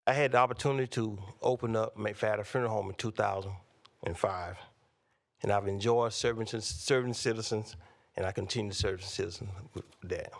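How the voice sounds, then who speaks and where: A man, introducing himself as a candidate at a local forum in a measured, plainspoken way. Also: on the low side, steady tone, gravelly. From North Carolina, USA. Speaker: candidate for county commissioner.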